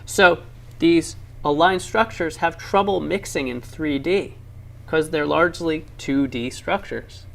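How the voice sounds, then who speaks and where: A man with a slightly high voice and a noticeably creaky, gravelly edge narrates an educational science film. He explains lab demonstrations like a keen teacher, leaning on key words with clear enthusiasm. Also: unhurried, fairly bright tone.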